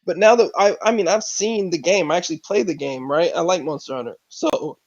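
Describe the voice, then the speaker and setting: High-pitched man with dull lo-fi sound. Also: fairly quick. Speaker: panelist on an online pop culture show. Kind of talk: casual opinionated group discussion.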